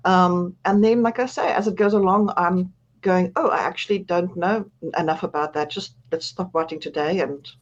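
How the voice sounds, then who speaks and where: A woman with a South African accent talks through a radio interview as an author guest. She is chatty and laughs at herself often, with a somewhat low voice. The sound is muffled and lo-fi. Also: normal speed.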